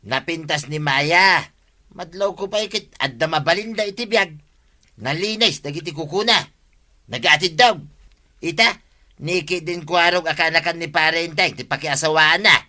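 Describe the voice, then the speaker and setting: A scheming, defensive village busybody with an Ilocano accent. The voice is high and piercingly bright, whiny and protesting. It is played as radio drama. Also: male voice, moderate pace.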